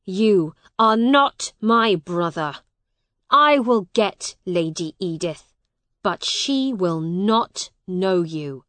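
A woman's voice: lowish voice, slow pace, no room sound. A woman voicing a cold, stern man in an audiobook, a hostile brother with a curt, commanding manner. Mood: angry. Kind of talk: graded audiobook character dialogue.

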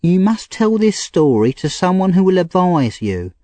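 A man's voice: sing-song, normal speed, mellow, no echo. A man voicing a frightened young woman thief. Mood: pleading. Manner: pleading, urgent and high-strung. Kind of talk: audiobook character reading.

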